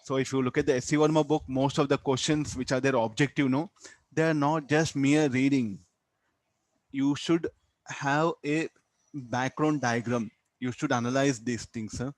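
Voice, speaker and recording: A man with an Indian accent teaching physics, explaining step by step in a halting way, repeating words and tagging on "huh" and "okay". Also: medium pitch, steady tone, no room sound. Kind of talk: classroom lesson, explaining a worked problem.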